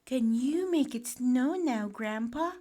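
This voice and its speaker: A woman voicing an eager, wistful young boy mouse in a storybook, pleading and excitable. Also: high voice, slow pace, voice pushed up, heavily acted.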